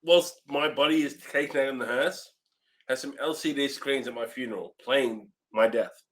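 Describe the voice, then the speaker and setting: A man's voice: medium pitch, normal speed. A man co-hosting a podcast in casual, joking banter. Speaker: podcast co-host.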